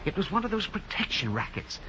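An American actor playing a nervous, genteel, middle-aged club owner in a 1950s radio drama, hesitant and fussy. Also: male voice, sits high, big pitch swings, brisk, bright, forceful tone, exaggerated voice. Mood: uneasy. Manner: hesitant, flustered, fussy, swooping emphasis. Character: nervous, genteel older male club owner, evasive and prim. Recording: muffled, hissy 1950s radio recording.

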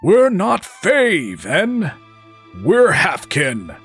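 A man's voice: high voice, huge pitch swings, drawn-out words, a bit bright, husky, soft background music, voice pushed up, full character voice. A man voicing a brash, greedy young halfkin brother who talks in a blunt, scolding way. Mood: defiant. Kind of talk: audiobook character voice.

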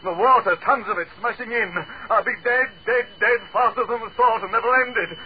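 A man's voice: low-pitched, expressive, slow, narrow, tinny audio, exaggerated voice. A man in a 1940s radio horror drama playing an arrogant, death-obsessed dictator, grandiose and theatrical. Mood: frantic, hysterical. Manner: grandiose, theatrical, swinging from weary to frantic. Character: arrogant tyrant dictator, panicking and death-obsessed, trapped undersea. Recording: hissy band-limited 1940s radio recording.

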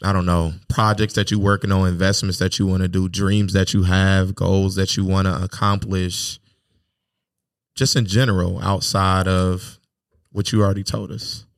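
A man's voice: low-pitched, level tone, moderate pace, no echo, studio quality. A man co-hosting a casual podcast, hyped and encouraging as he asks his guest questions. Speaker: podcast co-host.

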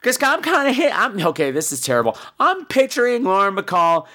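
A rather high-voiced American man with very swoopy, animated intonation. He sounds stuffed up with a cold and rambles along like a campy, bawdy podcast host. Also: brisk. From United States. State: sick with a cold, phlegmy. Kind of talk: casual, rambling comic monologue.

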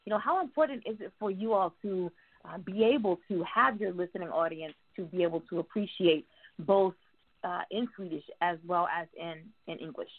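A woman's voice: fairly low pitch, moderate pace. A woman hosting a casual interview, chatty and playful, laughing often as she asks her questions. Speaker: interview host. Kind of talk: casual interview over a call. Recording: phone-like narrow sound.

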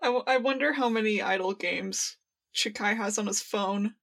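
A young woman co-hosting a podcast in casual fan chat, giggly and excitable, and swearing freely. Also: medium pitch, normal speed. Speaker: podcast co-host. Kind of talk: casual fan discussion of audio dramas.

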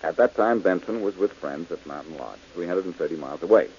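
An actor playing a confident, clever detective in an old radio drama, brisk and self-assured. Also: male voice, low-pitched, lively intonation, a little mellow. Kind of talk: 1940s radio acting. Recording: dull old radio broadcast with hiss.

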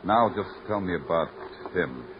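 Low-voiced hard-boiled radio detective. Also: male voice, measured pace, background noise. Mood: dry, terse. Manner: terse, deadpan, poetic first-person narration. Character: hard-boiled male night-shift Broadway police detective, world-weary, wry. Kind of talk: 1950s radio crime drama acting. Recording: muffled old radio recording, loud music.

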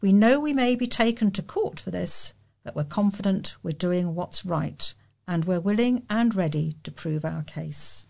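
A woman's voice: fairly low pitch, animated, measured pace, creaky, a little mellow. A British woman reading local news articles aloud as a volunteer news reader.

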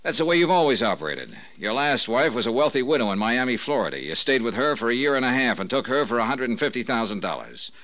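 A man's voice: medium pitch, big pitch swings, quick pace, hardly any pauses, a bit bright. An American man playing a sardonic, hard-boiled insurance investigator who needles and probes, in 1950s radio drama style. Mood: cool, needling. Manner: sardonic, probing. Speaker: lead actor of a detective series.

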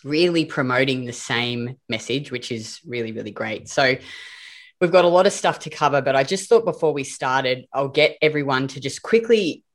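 A woman's voice: a bass voice, animated, moderate pace. A woman with an Australian accent hosting a casual group podcast chat, chatty and swearing casually. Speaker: podcast host.

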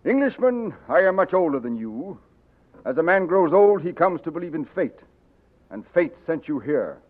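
A loyal older colonel, grave and commanding, who speaks with the weight of many years. The 1940s radio drama comes through a tinny broadcast. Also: male voice, fairly high pitch, very animated, normal speed.

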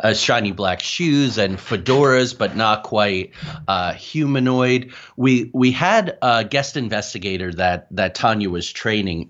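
A man's voice: lowish voice, fairly bright tone. A man speaking as an interview guest, telling his experiences in a conversational, explanatory way with asides. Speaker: guest, paranormal researcher and author. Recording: dull, lo-fi call audio.

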